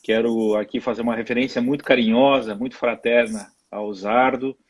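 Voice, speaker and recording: A middle-aged man from Rio Grande do Sul with a gaúcho accent, speaking as a guest on a video-call live stream in a warm but halting way. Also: mid-range voice, fairly even intonation, husky. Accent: gaúcho Brazilian Portuguese. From Rio Grande do Sul, Brazil.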